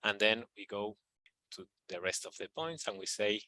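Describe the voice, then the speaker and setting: A man teaching a tapping technique in a calm, repetitive guided manner, with fillers as he explains. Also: lowish voice, moderate pace, poor audio. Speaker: EFT practitioner teaching tapping. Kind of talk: instructional video walkthrough.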